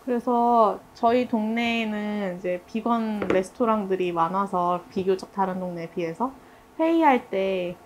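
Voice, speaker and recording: A woman leading a casual group discussion, chatty and telling anecdotes in an animated way. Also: mid-range voice, unhurried, slight background noise, rough recording. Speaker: interviewer and project member leading the discussion.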